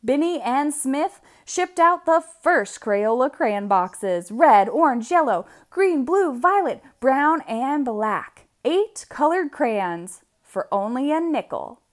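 A woman reading a picture book aloud for children, expressively, with deliberate, drawn-out emphasis on key words. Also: high-pitched, big pitch swings. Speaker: read-aloud storyteller.

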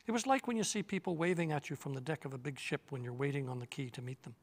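A man in his sixties reading a children's novel aloud in a measured, steady storytelling manner, seated in an armchair on a studio set. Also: on the high side, big-room sound. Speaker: reader.

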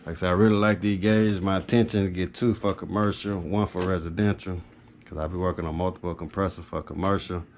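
A man's voice: low-pitched, flat delivery, fast. A man talks informally into a handheld camera in a small room. He rambles through a product update in a matter-of-fact way. There is a light layer of background noise on the recording. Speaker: HVAC technician and video host. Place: small carpeted home office, indoors.

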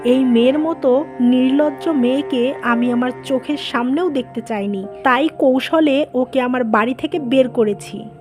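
A woman's voice: sits high, measured pace, a little mellow, faint music behind. A woman voicing a greedy, cold-hearted, scheming mother of a grown son in a cartoon drama. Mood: cold, spiteful. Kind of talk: dubbed cartoon drama dialogue.

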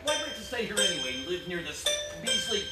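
A man's voice: high voice, very animated, quick pace, over loud music. An actor playing a harried, short-tempered, despairing husband and father in a live 1940s-style radio play, biting and emphatic. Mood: bitter, frustrated. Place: small theatre stage with audience. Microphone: distant camera in the audience. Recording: roomy stage recording from far off, very noisy.

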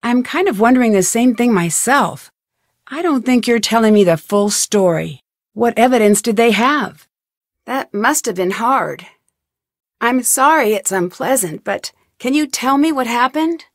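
A young woman detective in a game, polite and curious, presses people with earnest questions. Her voice sits a little high. The studio recording is dry and close, with no room sound. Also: expressive, a little slow, dry recording. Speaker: voice actor. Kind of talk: game voice lines. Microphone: studio mic, dry.

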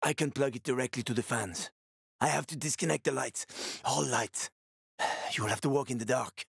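A man's voice: medium pitch, moderate pace, gravelly, a bit bright, husky, no echo, studio quality. An actor playing a wry, streetwise male survivor and former cab driver in a video game, colloquial and sweary, with an urgent manner. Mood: tense, focused. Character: wry, streetwise male ex-cabbie survivor, fatherly yet shifty. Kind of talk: video game character dialogue.

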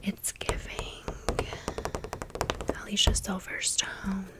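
Female ASMR host, breathy unvoiced whisper, unhurried pace, chatty sassy commentary. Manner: casual, gushing, sassy slang. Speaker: ASMR video creator. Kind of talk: ASMR doll haul, rambling commentary.

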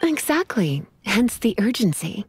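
Elegant sorceress for a game: sultry drawl, teasing, widely swooping intonation. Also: female voice, medium pitch, sing-song, measured pace, husky, faint music behind, heavily acted. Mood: languid, teasing. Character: sultry, languid, teasing grown witch, elegant. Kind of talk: animated video game voice acting.

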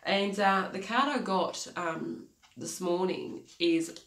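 A woman's voice: medium pitch, slow, a bit bright. Aussie woman life coach, stuffy nasal voice from a cold, talks to camera in a small echoey home office. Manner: earnest, repeats key phrases, gestures with hands. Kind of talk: motivational talk to camera. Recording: echoey room.

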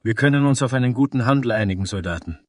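A man voicing an old dragonkin carpenter in a fantasy audio story, measured and fair, with a cautionary manner. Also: medium pitch, lively intonation, dry recording, clean studio sound, voice pushed up, natural voice. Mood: calm, fair.

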